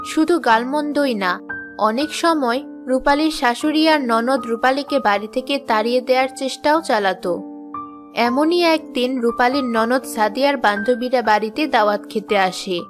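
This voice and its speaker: Woman narrator, high pitch, scripted children's storytelling, music bed. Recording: music behind the voice.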